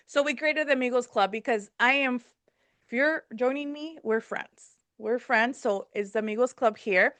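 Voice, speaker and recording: A woman born in Mexico hosts a relaxed podcast chat, slipping Spanish words into her English. Her voice is bright and a bit high, and it sounds close and dry, with no room echo. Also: moderate pace. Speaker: podcast host. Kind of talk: casual chat.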